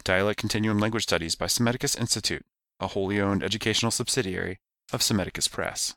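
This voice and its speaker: A male announcer delivering a mock advertisement as a deadpan sales pitch, rattling off the disclaimers at high speed. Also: quick pace, no room sound, studio quality.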